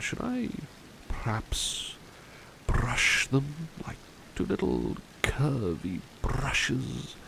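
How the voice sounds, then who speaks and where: A man's voice: low voice, exaggerated voice. A man playing a devoted, mild-mannered butler in an ASMR roleplay, speaking politely and formally, with hesitant, musing phrasing. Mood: musing, gentle. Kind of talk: ASMR roleplay, personal attention. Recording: clearly noisy ambience behind voice.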